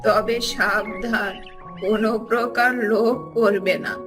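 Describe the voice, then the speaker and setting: A woman's voice: mid-range voice, steady tone, takes it slowly, close to an everyday voice. An actress playing a frail, kindly old beggar woman in a cartoon fairy tale, with a pleading, solemn manner over music. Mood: solemn warning. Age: older. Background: prominent background music.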